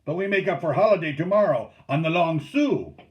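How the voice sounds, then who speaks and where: Guy doing a bossy hearty canoe boss from a storybook, loud n raised pitch, barking at the crew. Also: animated, brisk, highs cut off, natural voice. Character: commanding male canoe steersman, hearty and gruffly spirited.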